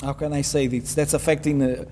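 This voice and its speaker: A male interviewer, speaking English with a fairly high voice. He asks questions hesitantly, restarting often and filling gaps. Faint ambience sits behind him. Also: level tone, a little fast, warm tone, a little hiss or hum. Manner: hesitant, many fillers and restarts, frequent "okay, very well". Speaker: interviewer, host.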